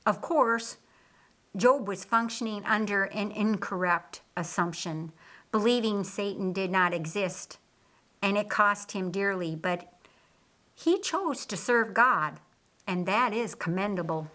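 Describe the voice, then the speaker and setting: A woman voicing a patient, authoritative male teacher in an audiobook, explaining in a measured, didactic way. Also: medium pitch, sharp tone. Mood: patient, instructive. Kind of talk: audiobook character dialogue.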